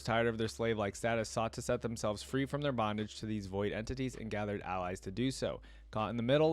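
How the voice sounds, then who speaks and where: A man with a mid-pitched voice hosts a reaction video. He talks conversationally to his viewers, thinking aloud as he goes. His delivery moves between casual commentary and reading wiki text out loud.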